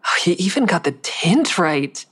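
A woman's voice: fairly low pitch, big pitch swings, moderate pace, breathy edge. A woman playing an anxious but determined young amateur sleuth in a naturalistic audio drama. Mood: confiding. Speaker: lead character and first-person narrator.

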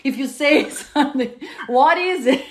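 A woman hosts a casual podcast chat. She talks in an animated way and laughs easily. Her voice sits a bit high. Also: moderate pace. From Latin America. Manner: animated, laughs often, mixes in Spanish. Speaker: podcast host. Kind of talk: casual interview.

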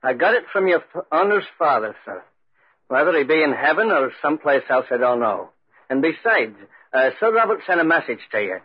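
A man playing an awed but plucky Scottish piper, earnest and husky, in 1940s radio style. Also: fairly high pitch, very animated, a little fast. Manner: earnest, respectful, anxious. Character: awed, plucky Scottish piper telling his ghostly tale. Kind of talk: 1940s radio acting with storytelling. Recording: muffled 1940s radio recording.

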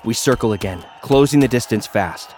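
A man's voice: medium pitch, normal speed, darkish tone, natural voice. An actor playing a battered young gladiator influencer, narrating his own story in a terse, dramatic, grimly intense way. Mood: grim, tense. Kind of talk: first-person audio fiction narration. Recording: prominent music under the voice.

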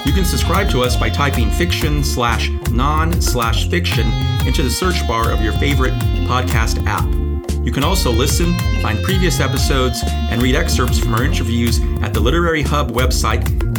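A young man reads a podcast's closing credits and listener instructions from a script, at a medium pitch. Prominent plucked guitar music plays loudly beneath his voice. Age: young adult. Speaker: podcast intern producer. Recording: loud guitar theme under voice.

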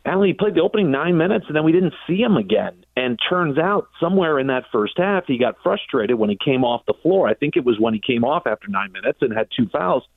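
American sportscaster on a phone-like line. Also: male voice, a little high, sing-song, quick pace, gravelly, hardly any pauses, no echo. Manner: long-winded, detailed storyteller. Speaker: sports broadcaster interviewed as guest. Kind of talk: conversational sports radio commentary. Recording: phone-like narrow band, dry.

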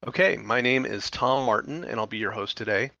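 A man's voice: medium pitch, brisk, vocal fry, sharp tone, dry recording. A man hosting an interview teleconference, reading a sponsor note and then chatting loosely with fillers. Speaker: podcast host. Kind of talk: interview host, reads sponsor intro. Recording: dull dry teleconference audio.

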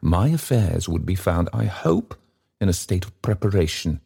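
A man voicing a pompous, stately old gentleman of self-importance in a storybook reading, halting and floundering as he speaks. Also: sits low, very animated, creaky, breathy edge, heavily acted. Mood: pompous, self-important.

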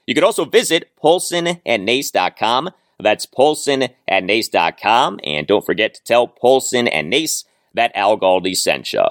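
A male sports podcast host with a very lively, swinging delivery. He speaks emphatically and deliberately in a mid-range voice, recorded close and dry with no room sound. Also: medium pitch, dry recording. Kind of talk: sports commentary monologue with sponsor read.

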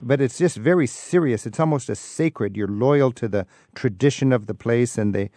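A man hosting a radio travel show, chatting with guests and callers in a relaxed, curious way and laughing easily. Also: medium pitch, moderate pace, no room sound, clean studio sound. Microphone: studio microphone.

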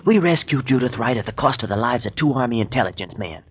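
Brash sidekick, husky voice, folksy wisecracks, 1940s radio play. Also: male voice, mid-range voice, animated, quick pace. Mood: wisecracking. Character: brash sidekick adventurer, male, joking. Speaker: radio drama actor.

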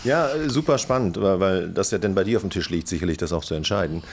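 A man's voice: low-pitched, fast talker, a little hiss or hum. A man hosting a casual conversational interview, asking informal, rambling questions. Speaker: podcast host interviewer.

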